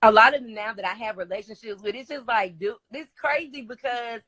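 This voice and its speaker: A young woman singer with a low, raspy voice, chatting enthusiastically as an interview guest with lots of fillers. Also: a little fast, pushed and bright, dry recording. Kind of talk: casual interview chat.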